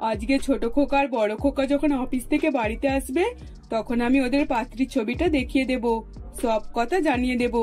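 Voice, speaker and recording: A woman's voice: sits high, a little fast, darkish tone, faint music behind, natural voice. A woman voicing an eager, decisive middle-aged mother-in-law in a cartoon, with an emphatic, matronly manner. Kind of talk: scripted cartoon dialogue.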